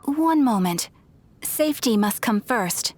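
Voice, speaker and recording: A woman's voice: on the high side, slow, a little hiss or hum. A woman voicing a polite, composed guild receptionist in a video game, speaking formally and evenly. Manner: even, formal, courteous. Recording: game audio with faint music.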